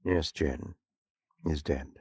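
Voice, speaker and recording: An actor playing an authoritative doctor, measured and grave, in a 1940s radio horror drama. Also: male voice, very deep voice, a little mellow, slightly rough, dry recording, studio quality, full character voice. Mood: somber. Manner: measured, commanding. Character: grave, authoritative male doctor, steady and urgent.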